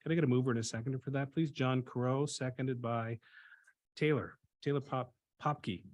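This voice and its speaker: Man, meeting chair, reads motions briskly, medium pitch. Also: darkish tone. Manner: brisk, procedural. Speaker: board chair.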